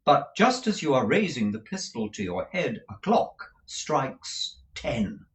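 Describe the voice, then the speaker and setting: A man's voice: medium pitch, big pitch swings, slow, creaky, fairly bright tone, pitched above the performer's own voice, heavily acted. A man voicing a polite but harried young male dramatist. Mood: explaining. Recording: roomy echo.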